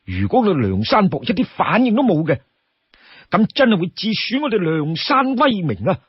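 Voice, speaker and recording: A male storyteller plays a proud young outlaw fighter in a heroic tale. The voice is raised and very animated, earnest and spirited. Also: high-pitched, normal speed, slightly rough, no echo, dull audio, pitched above the performer's own voice, full character voice. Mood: indignant, urging.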